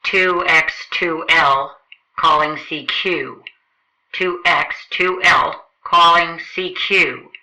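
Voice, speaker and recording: Lady doing a lone radio operator calling out, super slow, very bright voice, radio play. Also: deep voice, heavily acted, poor audio. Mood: searching, uneasy. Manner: deliberate, repeated radio calls. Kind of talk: radio drama acting.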